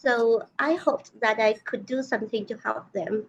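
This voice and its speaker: A woman from Vietnam whose first language is Vietnamese, answering interview questions in a soft, hesitant conversational way. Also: on the high side, fairly quick, gravelly, lo-fi sound, a little hiss or hum. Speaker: interview guest, nutritionist.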